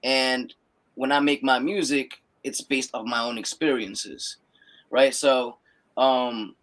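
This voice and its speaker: A young man from Peru, raised in the United States, talking as a musician guest in a relaxed way, often repeating words and checking in with "right?". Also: medium pitch, takes it slowly, poor audio. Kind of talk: casual interview chat.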